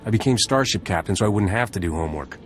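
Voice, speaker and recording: Man as a deep, quick, cocky captain. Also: lively intonation, faint music behind, natural voice. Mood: wry. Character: cocky, sardonic male starship captain. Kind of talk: video game voice acting.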